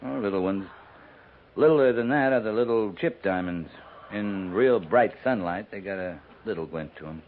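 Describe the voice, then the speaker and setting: Gruff old-West captain male actor, low and preoccupied, muttering through a narrow, hissy 1950s radio recording. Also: normal speed, natural voice. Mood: quietly wistful. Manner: absent-minded, muttering, clipped. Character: gruff, distracted cavalry captain of the old frontier. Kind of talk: 1950s radio western acting.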